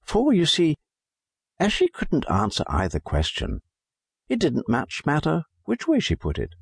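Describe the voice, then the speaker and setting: A man narrating a children's storybook as a scripted audiobook, in an expressive storytelling manner. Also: on the high side, a little slow, gravelly, breathy edge, no echo, studio quality.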